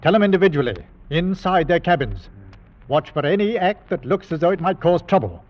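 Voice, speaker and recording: An actor playing a grave, commanding sea captain in a vintage radio drama, speaking in terse, weighty phrases. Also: male voice, fairly high pitch, animated, brisk. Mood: urgent, commanding. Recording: dull vintage radio recording.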